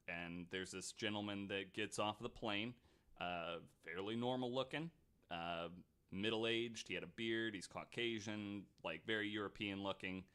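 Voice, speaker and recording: A man's voice: on the low side, bright, forceful tone. A man hosting a podcast, telling a story in a loose, chatty way with plenty of likes and the odd mild swear. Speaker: podcast host. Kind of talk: casual storytelling chat.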